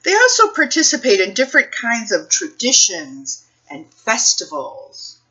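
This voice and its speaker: A woman reading a picture book aloud for children's storytime, phrasing things deliberately and putting questions to her young listeners. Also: fairly high pitch, wildly swooping pitch, bright, forceful tone, muffled recording.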